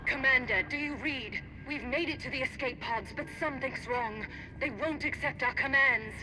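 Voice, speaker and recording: High, anxious woman acted on noisy comms. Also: normal speed, natural voice. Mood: alarmed. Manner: anxious, urgent. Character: frightened woman calling for help over comms. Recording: noisy ship comms with vehicle rumble.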